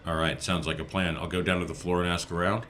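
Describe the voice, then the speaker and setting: A man playing a steady, earnest rebel adventurer in an improvised tabletop game, speaking deliberately. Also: low-pitched, a little hiss or hum. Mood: agreeable, determined.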